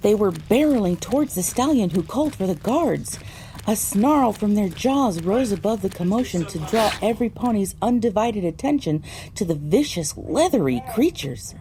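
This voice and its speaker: A woman's voice: medium pitch, sing-song, moderate pace, dark tone, slight background noise, poor audio. A woman delivering scripted, first-person narration for an audio drama.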